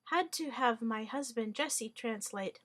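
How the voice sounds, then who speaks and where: A woman's voice: a little high, a little slow. A woman whose first language is Hungarian, giving a wry, rambling monologue full of fillers, restarts and mock-formal wording. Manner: hesitant, many fillers and restarts.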